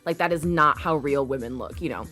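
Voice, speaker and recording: A woman's voice: low-pitched, brisk, a bit bright, soft background music. A woman co-hosting a podcast, chatting casually and thinking aloud with lots of fillers. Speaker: podcast co-host. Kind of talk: casual chat.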